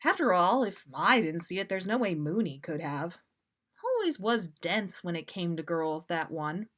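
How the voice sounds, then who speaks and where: A woman's voice: fairly low pitch, big pitch swings, a little slow, fairly bright tone. A woman voicing a cocky, joking, self-centered man from a storybook in an exaggerated, playful, blustering manner. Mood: sheepish.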